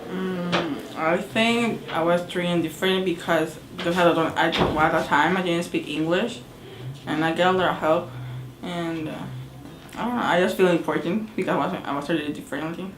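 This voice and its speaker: Very low-voiced teen girl, echoey room. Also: background noise. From El Salvador. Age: teens. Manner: halting, repeats words, simple non-native phrasing. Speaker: interviewee. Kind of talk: hesitant interview answers.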